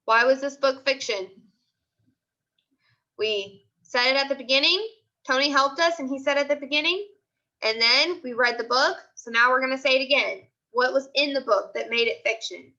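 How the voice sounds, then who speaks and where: A woman's voice: high voice, normal speed, pushed and bright, dull audio. A woman teacher leading a live online class discussion, prompting with repeated questions and short commands.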